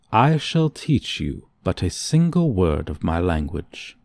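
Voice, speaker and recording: A man's voice: lowish voice, darkish tone, no echo, full character voice. A man voicing a haughty, courting dragon with pompous, archaic diction in an ASMR fantasy romance roleplay. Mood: condescending, fond. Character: haughty, archaic-speaking male dragon, tenderly courting a human.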